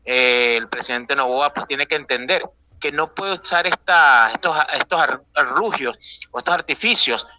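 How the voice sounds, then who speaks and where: A man's voice: medium pitch, moderate pace, bright, forceful tone, no room sound, dull audio. A man with a Venezuelan accent, an international analyst giving emphatic, rambling political commentary with many fillers, calling from an airport. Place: airport waiting area.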